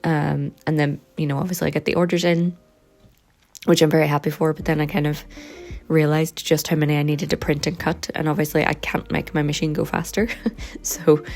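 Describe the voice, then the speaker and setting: Woman, low and gravelly, a little hoarse, relaxed chatty vlogger. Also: fairly quick. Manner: relaxed and rambling, with frequent ums. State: slightly hoarse and croaky. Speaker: vlogger and sticker and journal maker. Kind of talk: casual chatty vlog.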